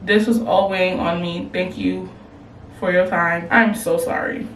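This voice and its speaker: A woman's voice: fairly low pitch, a little slow, distant mic, a little hiss or hum. Blunt young woman vlogging in a roomy space. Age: young adult. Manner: blunt, slangy, animated reactions. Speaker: advice vlogger reading submissions. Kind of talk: casual advice chat. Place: room at home. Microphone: camera, later phone.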